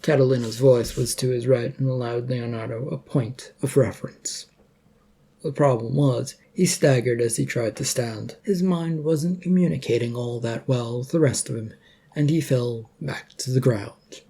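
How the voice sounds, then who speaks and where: Mellow, gravelly man narrating a story. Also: medium pitch, normal speed.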